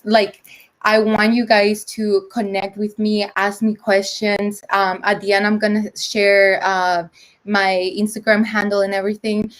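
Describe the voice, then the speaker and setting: A woman's voice: medium pitch, poor audio. Young woman, English, casual presenter with a chatty, filler-heavy delivery, video-call audio. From El Salvador. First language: Spanish. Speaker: conference presenter.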